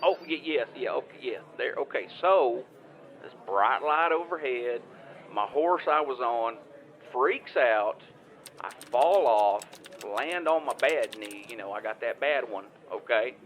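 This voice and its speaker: A man's voice: mid-range voice, lively intonation, fairly bright tone. A man playing a devout, flustered farmer with a Southern US accent, stammering and rambling through a phone call in an improvised comedic audio drama. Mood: agitated, earnest. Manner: rambling, stammering, halting, folksy. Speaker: caller.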